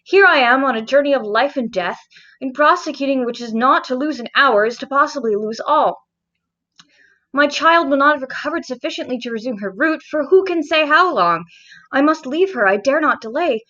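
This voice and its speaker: A woman's voice: sits high, lively intonation, normal speed, pushed and bright, highs cut off. A woman voicing a proud, agitated middle-aged noblewoman in a storybook reading, dramatic, formal and pleading. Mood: agitated, desperate.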